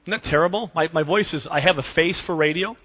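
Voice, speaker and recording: A pastor preaching a sermon to his congregation while losing his voice, often clearing his throat. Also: on the high side, quick pace. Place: church sanctuary. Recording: dull recording in a reverberant church.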